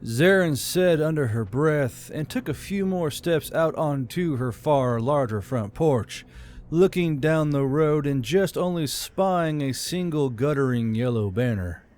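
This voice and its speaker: A man's voice: fairly high pitch, animated, unhurried, a little mellow, soft background music. A man giving scripted narration of a fantasy audiobook.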